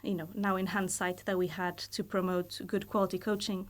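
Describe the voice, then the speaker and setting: A woman in her thirties from Portugal with a Portuguese accent, a university lecturer speaking as a guest in a casual podcast interview. She talks in a thoughtful, measured way, often hedging with fillers. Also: lowish voice, little pitch movement. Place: podcast studio.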